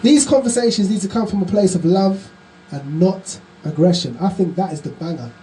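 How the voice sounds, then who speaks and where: A male radio show host talking live, excitable and exclamatory, often repeating his phrases. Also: sits high, normal speed, a little hiss or hum, poor audio.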